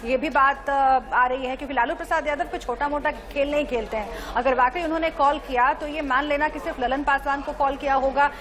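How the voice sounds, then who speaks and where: A woman's voice: sits high, steady tone, fairly quick, hardly any pauses, a bit bright. A woman giving live, unscripted analysis as a news correspondent in a newsroom.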